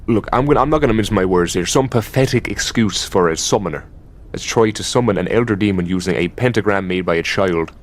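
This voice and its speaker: An Irish elder demon boyfriend , played by a man, speaks in a fairly low voice. He is exasperated and possessive, mocking in a halting, conversational way with plenty of swearing. The delivery is that of an intimate ASMR roleplay monologue. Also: lightly acted. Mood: weary, mocking.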